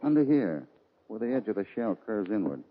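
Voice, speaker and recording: A man playing a level-headed, decisive cowboy hero in an old radio western, calm, commanding and plain-spoken. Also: fairly low pitch, fairly quick. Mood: thoughtful, intent. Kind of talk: 1950s radio western acting. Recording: noisy, muffled old-time radio recording.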